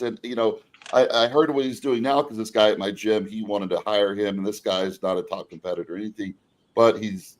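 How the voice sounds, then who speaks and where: Blunt low-voiced man in his forties. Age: 40s. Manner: blunt, rambling, swears freely. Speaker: podcast host. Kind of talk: casual chat.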